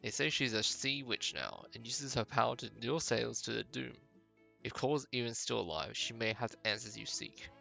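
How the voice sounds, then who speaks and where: A man's voice: mid-range voice, normal speed, vocal fry, bright, forceful tone. A man acts out a superstitious islander from a fantasy game, guardian of old ways. The delivery is solemn and emphatic, over faint music. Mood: grave storytelling. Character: protective, superstitious islander guarding ancestral statues. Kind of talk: amateur game dialogue voicing.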